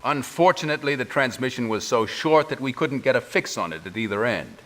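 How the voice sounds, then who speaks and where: A man's voice: medium pitch, animated, fairly bright tone, lightly acted. Man playing a grave, commanding general. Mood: grave, resolute. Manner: formal, deliberate, commanding. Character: grave, commanding middle-aged male resistance general. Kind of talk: video game mission briefing. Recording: faint background noise.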